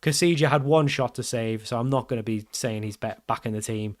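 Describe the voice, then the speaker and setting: A man commenting casually over his football management game, getting loud and excited at the big moments. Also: mid-range voice, a little fast. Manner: excitable, shouts at big chances and goals. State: losing his voice. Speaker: game streamer.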